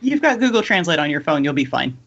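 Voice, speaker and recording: A woman's voice: sits low, very animated, fast, fairly bright tone, muffled recording. A woman co-hosting a podcast, talking casually in a fan discussion. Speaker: podcast co-host.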